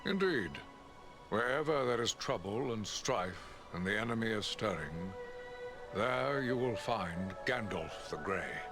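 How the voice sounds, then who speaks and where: An actor playing a wise old wizard in a fantasy game, grave and courteous, speaking in measured, theatrical declamation. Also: male voice, on the low side, animated, music in the background. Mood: grand, introducing himself. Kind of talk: dramatic fantasy game acting.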